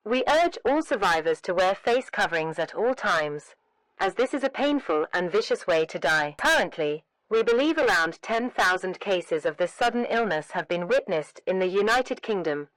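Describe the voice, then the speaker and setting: A woman delivering a scripted emergency alert announcement in a formal, measured, solemn manner. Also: mid-range voice, no echo, low-quality recording.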